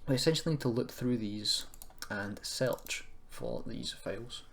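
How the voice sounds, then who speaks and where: A man giving an informal software tutorial, thinking aloud in a hesitant, self-deprecating way as he goes. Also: mid-range voice, unhurried, creaky. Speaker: tutorial presenter. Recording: noisy room with keyboard clicking.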